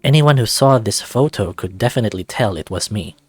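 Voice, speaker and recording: A man's voice: fairly low pitch, lively intonation, a little fast, darkish tone, slightly rough, no echo. A man reading a visual novel aloud as narrator. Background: music.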